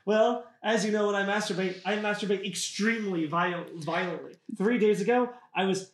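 A man's voice: high voice, moderate pace, reverberant, lightly acted, poor audio. A man playing an earnest, sheepish patient in a comedy sketch, speaking with mock seriousness. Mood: earnest, grave. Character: earnest, sheepish male patient making an absurd confession.

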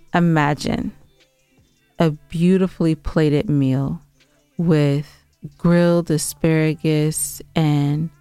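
Low-voiced, smooth American woman podcaster. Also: darkish tone, no echo. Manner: emphatic, argumentative, dramatizing complaints. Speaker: podcast co-host. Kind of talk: casual, bantering podcast chat.

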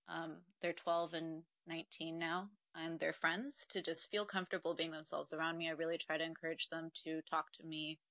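Woman guest, twenties, from Mexico; low-ish, bright and dry, reflective, earnest. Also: dry recording. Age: 20s. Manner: reflective, earnest, with frequent ums. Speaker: podcast guest. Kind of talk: casual interview conversation.

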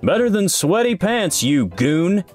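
A brash, combative male executive, voiced by a man telling a story. His delivery is snarky and emphatic, raised in pitch and very animated, over faint music. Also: moderate pace, natural voice. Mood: retorting. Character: brash male CEO with snarky attitude, combative, game-loving.

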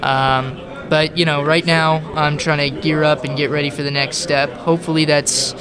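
A teenage boy answers interview questions earnestly, padding his talk with fillers. His voice is slightly high and stays very flat. A loud crowd murmurs around him in the hall. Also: normal speed. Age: teens. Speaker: guest.

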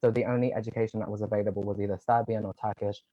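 A young man speaking quickly and earnestly as an interview guest, his low voice packed with casual "like" fillers. The sound is dry and close, but slightly dull and muffled, as though the recording lacks its top end. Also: level tone, dry recording. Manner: earnest, talks in quick runs with many "like" fillers. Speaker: guest, online content creator.

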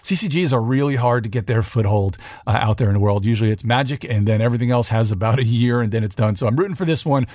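A man's voice: medium pitch, quick pace, few pauses, a little mellow, dry recording, clean studio sound. A man hosting a podcast, giving a casual news rundown on board games in an informal, loosely phrased way. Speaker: podcast host.

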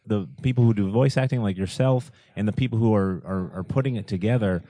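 A man's voice: fairly low pitch, brisk, dark tone. A man co-hosting a casual interview, talking earnestly and often restarting his words. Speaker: podcast co-host.

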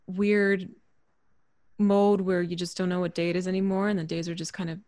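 A woman musician being interviewed over a video call, talking reflectively with frequent fillers and soft laughs. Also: lowish voice, level tone, normal speed, no room sound, clean studio sound. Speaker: musician guest. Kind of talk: relaxed interview chat.

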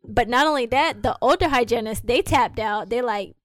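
A woman's voice: a little high, normal speed, dry recording, clean studio sound. A woman who is a dental hygienist and practice owner, co-hosting a casual podcast chat and animatedly acting out the people she quotes.

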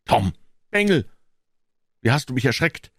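A man voicing a brisk, tender-hearted elderly aunt who scolds in exclamations in a storybook. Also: fairly low pitch, very animated, no echo, clean studio sound. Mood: brisk, scolding.

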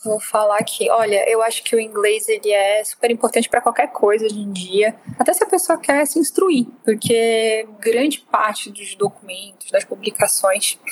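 A Brazilian woman with a Brazilian Portuguese accent speaks as a podcast guest, her voice sitting a bit high. She gives emphatic, animated advice, peppering it with colloquial interjections. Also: moderate pace, few pauses, slightly rough, rough recording. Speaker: podcast guest, data professional. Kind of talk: casual panel chat.

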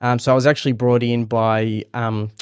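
A man's voice: medium pitch, level tone, moderate pace, clean tone, no echo, clean studio sound. A man from Israel who moved to Australia as a teenager, chatting casually as an interview guest. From born in Israel, moved to Australia at thirteen. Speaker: interview guest, startup executive.

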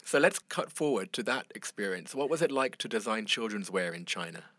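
A man hosting a podcast interview, asking relaxed follow-up questions. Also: on the low side, a little fast, no echo. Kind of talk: conversational interview.

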